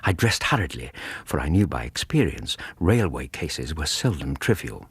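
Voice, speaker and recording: An actor with an English accent playing a reflective Victorian doctor, narrating his memoir in a measured, literary way for radio drama. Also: rumbling low, big pitch swings, husky, no echo, full character voice. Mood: reflective, composed. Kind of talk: scripted first-person radio drama narration.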